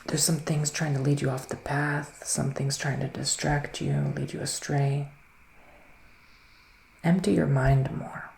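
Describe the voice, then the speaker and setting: A man speaking in a hushed, breathy ASMR style that often slips into a whisper, soothing and reassuring as he plays a psychic witch giving a reading. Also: fairly high pitch, level tone, unhurried. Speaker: ASMR performer playing a psychic reader. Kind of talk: ASMR roleplay, improvised tarot reading. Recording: roomy echo, faint background noise.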